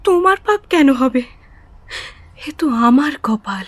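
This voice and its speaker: An actress playing an anxious, devoted wife in a radio drama, pleading and emotional. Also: female voice, sits high, animated, slow pace, no room sound, full character voice. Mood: anguished.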